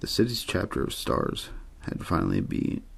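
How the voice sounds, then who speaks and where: A man reading a story aloud in ASMR bedtime style, with an understated, untheatrical delivery and a gravelly, husky voice. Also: sits low, wildly swooping pitch, normal speed. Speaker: amateur audiobook reader.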